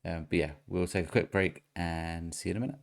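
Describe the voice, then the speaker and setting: Male podcast host, very low and gravelly, casual quiz-master delivery. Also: fast.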